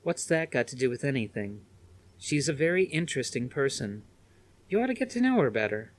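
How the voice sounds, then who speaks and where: Man voicing a gentle, worried mother, pitched up. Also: sing-song, a little fast, natural voice. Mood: light. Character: loving, worried, weary mother, adult woman.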